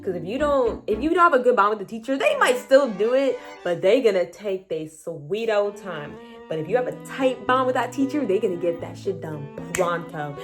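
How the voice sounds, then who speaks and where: A teenage girl giving casual high school advice in a chatty vlog, saying "like" a lot. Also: moderate pace, soft background music. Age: teens. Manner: chatty, heavy use of "like", animated asides. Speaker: high school student vlogger.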